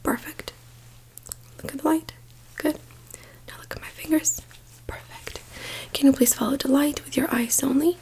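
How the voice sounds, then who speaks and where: Woman, high but mellow breathy voice, soft ASMR medical roleplay, gentle step-by-step instructions, close-miked. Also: steady tone, moderate pace, long pauses, low-quality recording. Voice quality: breathy whisper. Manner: gentle, reassuring, softly instructing. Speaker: ASMR performer playing a doctor.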